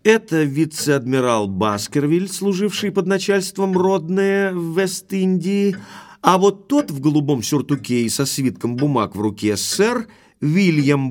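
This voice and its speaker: An actor playing a frank, courteous young baronet in an audio drama. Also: male voice, a little high, lively intonation, measured pace. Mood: matter-of-fact. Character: Sir Henry, frank young baronet back from America. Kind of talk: Russian audio drama acting.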